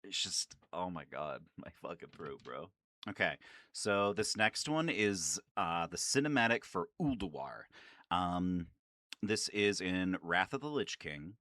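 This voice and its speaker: Low-voiced, forceful man rambling casually. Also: expressive, a little slow, no echo. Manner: rambling, sweary, enthusiastic. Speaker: gaming video creator. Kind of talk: casual, nerdy commentary on favorite game lines.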